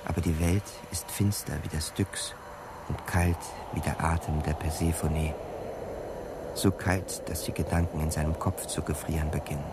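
A male narrator with a low, deep voice reads dramatic literary prose. Noisy ambience runs clearly behind his words. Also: steady tone, moderate pace. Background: ambient noise.

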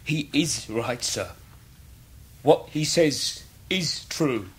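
An actor playing a poor English schoolteacher with wounded dignity and a husky voice in a period radio drama. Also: male voice, medium pitch, lively intonation, extremely slow, fairly bright tone, slight background noise. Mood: wounded, restrained.

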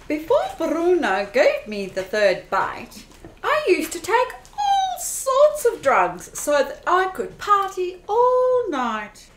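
A woman's voice: very high voice, huge pitch swings, slow, exaggerated voice. A woman playing a party-loving vampire socialite in an amateur radio play. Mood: airy, chatty. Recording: roomy, echoey home recording.

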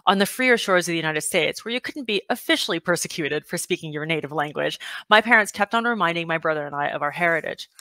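A woman in her forties from Taiwan, reading her own personal essay aloud on a video call through a headset mic, with sudden lifts in pitch. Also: lively intonation, fast, pushed and bright, dry recording. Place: home room with bookshelves, indoors.

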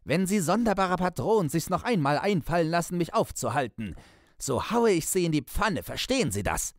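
Brash, high-pitched young scolder. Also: male voice, very animated, normal speed, dry recording, clean studio sound. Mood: menacing indignation. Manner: scolding, threatening, sharp-tongued. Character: brash indignant young male cyclist, menacing and cocky. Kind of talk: dramatised literary storytelling.

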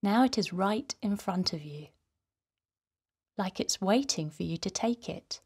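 Slow, soothing woman guiding children's relaxation. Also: medium pitch, lively intonation. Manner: gentle, soothing, breathy soft delivery. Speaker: children's guided meditation host. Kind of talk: guided relaxation narration for children.

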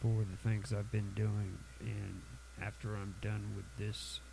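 A man with a cold hosting a casual software tutorial, halting and full of fillers and restarts. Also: fairly low pitch, flat delivery, gravelly, background noise. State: has a cold, sniffly. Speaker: tutorial channel host.

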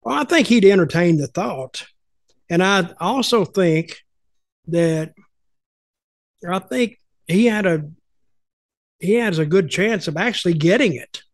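A man's voice: high voice, expressive, unhurried, pauses often, no echo, clean studio sound. An older man, telling folksy, opinionated stories in casual chat over a video call from home. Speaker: podcast host and storyteller.

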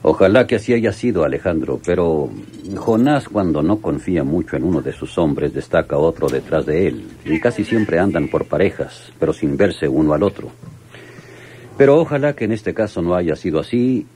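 Really low gruff police lieutenant, radio drama, bit of noise. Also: male voice, expressive, normal speed, warm tone. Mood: concerned, wary.

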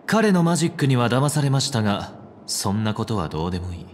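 A man voicing a composed, elegant young head of a family in a video game, speaking formally and deliberately with quiet menace. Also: mid-range voice, sing-song, a little hiss or hum, natural voice. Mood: cold, menacing. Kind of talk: dramatic video game voice acting.

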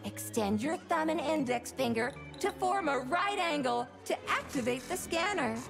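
A high-voiced, creaky robot guide. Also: female voice, expressive, moderate pace, loud music behind the voice, heavily acted. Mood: polite, instructional. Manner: formal, courteous, instructional. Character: cheerful, unflappable female robot guide. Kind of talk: scripted video game announcements.

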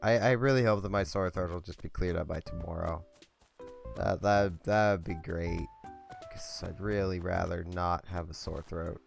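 A young man with a hoarse, scratchy voice from a sore throat, rambling casually while playing a game. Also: sits low, steady tone, normal speed. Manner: rambling, chatty. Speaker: let's play host. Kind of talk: casual gameplay commentary. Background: faint game music.

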